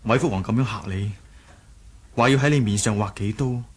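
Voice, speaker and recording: A man playing an earnest, gentle young rebel leader in a radio drama, sincere and a little hesitant. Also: fairly low pitch, fairly quick, close to an everyday voice. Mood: gentle, pleading. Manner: sincere, hesitant, soft-hearted. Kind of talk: Cantonese 1980s radio drama acting.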